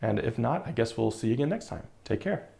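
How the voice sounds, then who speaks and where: A man in his thirties, an indie game developer giving a casual, relaxed update on his project while thinking aloud with fillers, recorded in a studio room on a lapel mic. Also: medium pitch, fast talker, gravelly. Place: indoor studio room with monitors.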